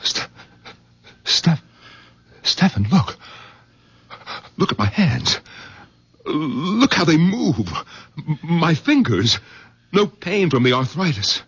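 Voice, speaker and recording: A muffled old radio drama recording carries an irascible middle-aged doctor. His voice is rough-edged and on the low side, leaping widely in pitch. He speaks with excitable, theatrical emphasis. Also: slow, pauses often, heavily acted. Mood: astonished, elated. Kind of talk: 1970s radio drama acting. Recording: dull, lo-fi vintage radio sound.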